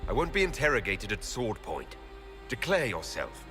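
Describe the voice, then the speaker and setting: An actor playing a guarded, resolute adventurer hero in a fantasy video game, with a firm, formal manner. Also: male voice, fairly low pitch, wildly swooping pitch, moderate pace, soft background music.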